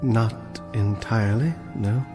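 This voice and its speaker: An actor in a radio drama playing a mild, bookish librarian, polite and earnest, with a stubborn, principled streak. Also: male voice, on the low side, fairly even intonation, slow, mellow, faint music behind. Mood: meek, puzzled.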